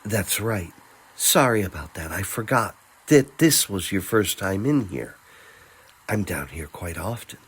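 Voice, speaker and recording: A man voicing a gentle, protective young man who speaks in a reassuring, earnest, casual way in a fantasy audio story. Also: low-pitched, lively intonation, unhurried, husky, full character voice. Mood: apologetic, reassuring.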